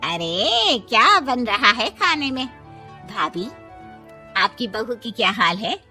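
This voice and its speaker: A woman's voice: on the high side, sing-song, slow pace, pushed and bright, soft background music, exaggerated voice. A woman voicing a nosy, snide middle-aged aunt who needles in a comic story.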